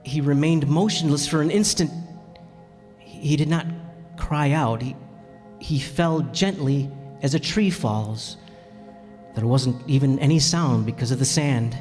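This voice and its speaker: A man playing a tender, sorrowful pilot in a radio play, speaking earnestly with halting pauses. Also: mid-range voice, darkish tone, natural voice. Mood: sorrowful, reflective. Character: sorrowful, tender adult pilot recalling his lost friend. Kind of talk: radio play acting and narration. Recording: reverberant hall with music.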